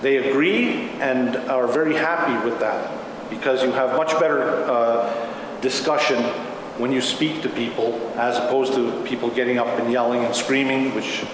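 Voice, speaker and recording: A man facilitating a public meeting, explaining things in a halting way as he addresses the room. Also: medium pitch. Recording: very echoey hall, clear background noise.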